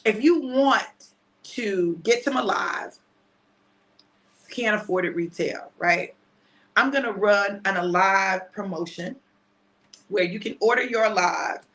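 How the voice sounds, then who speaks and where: A woman in her fifties, chatty and repetitive, mixing a prophetic word with a casual sales pitch and often asking "right?". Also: a bit bright. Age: 50s. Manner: chatty, repeats phrases, asks "right?". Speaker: preacher selling a product.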